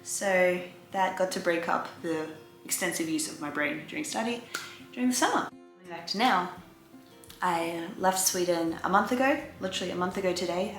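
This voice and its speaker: A woman, chatting casually to viewers as a vlogger giving an update. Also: on the low side. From Australia. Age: 20s. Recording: roomy echo, faint music behind.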